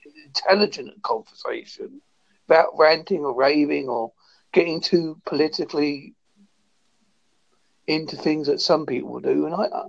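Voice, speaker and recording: A man in his fifties talking calmly and thoughtfully on a video call. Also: on the high side, vocal fry, lo-fi sound. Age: 50s. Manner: calm, measured, reflective. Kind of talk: casual conversation.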